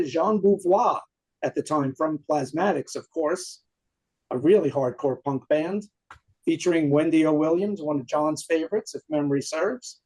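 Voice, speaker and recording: A man with a New York accent talks up his favorite punk track with eager, detailed enthusiasm. His voice sits fairly high for a man. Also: darkish tone, low-quality recording. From Queens, New York. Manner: enthusiastic, detail-heavy storytelling. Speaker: guest, punk singer. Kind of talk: casual music discussion.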